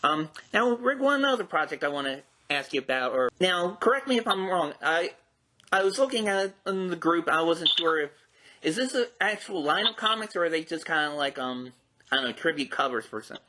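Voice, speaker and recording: Bright-voiced man hosting a podcast interview. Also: on the high side, expressive, a little fast.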